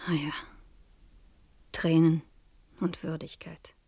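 A woman acting in a 1970s German radio play as a wry, brooding heroine who recounts her dangerous mystery in a measured, reflective way. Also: low voice, level tone, gravelly, dark tone, slightly rough, dry recording, exaggerated voice.

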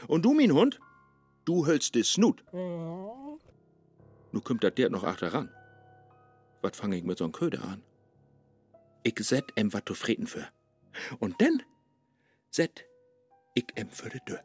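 An actor playing a brooding, world-weary scholar in a rhymed radio play, declamatory, with a Low German accent. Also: male voice, huge pitch swings, slow, pauses often, a little mellow, slightly rough, faint music behind, full character voice. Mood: grumpy. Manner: declamatory, melancholy.

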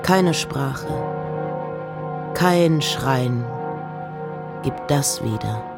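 A woman playing a reflective, intense poet in a slow, intimate dramatic recitation of letters and verse. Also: very deep voice, expressive, over loud music, heavily acted. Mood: somber. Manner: measured, intimate, often dropping to breathy whispers.

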